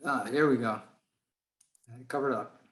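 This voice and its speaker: Guy reading his presentation to a club on a video call, mid pitch, really roomy echo. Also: a little slow, heavy background noise. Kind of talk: prepared talk read to a club meeting.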